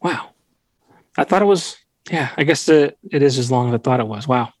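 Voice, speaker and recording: Late-thirties man, mid-pitched, dry close sound, joking, blunt host. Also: moderate pace, no echo. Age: 30s. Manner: joking, blunt, casual swearing. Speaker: podcast host. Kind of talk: casual podcast interview.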